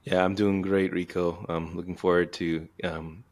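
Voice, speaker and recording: A man co-hosting an interview podcast, talking in a relaxed, hesitant way with many fillers. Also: deep voice, moderate pace. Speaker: podcast co-host. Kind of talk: casual interview chat.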